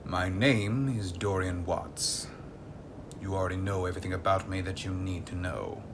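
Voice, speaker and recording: An actor playing a secretive, composed gentleman investigator in an audio drama, with a measured, formal and politely authoritative manner. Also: deep voice, steady tone, noisy background. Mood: guarded.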